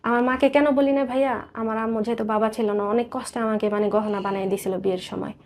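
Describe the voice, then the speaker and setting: Higher-voiced Bangladeshi woman telling her story. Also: steady tone, fast talker, slight background noise. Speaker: guest telling life story. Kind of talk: personal storytelling in a radio interview.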